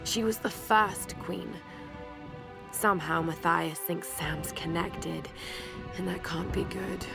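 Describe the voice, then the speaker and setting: Young survivor heroine, game journal. Lowish, fry-edged, breathy, intimate and resolute, over prominent music. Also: female voice. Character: shaken but determined young woman adventurer keeping a survival journal. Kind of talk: dramatic journal narration. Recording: music behind the voice.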